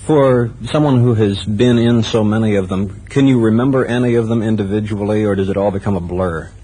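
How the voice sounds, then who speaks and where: A man's voice: lowish voice, brisk, dark tone. An American man interviewing a guest for radio in a conversational style. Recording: noisy 1970s radio tape.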